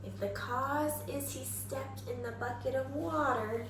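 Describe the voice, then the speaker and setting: Echoey, high-pitched, animated woman reading to kids. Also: a little slow. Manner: expressive, exaggerated, prompts listeners with questions. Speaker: kindergarten teacher reading aloud. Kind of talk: animated children's read-aloud and simple lesson. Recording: echoey room, faint background noise.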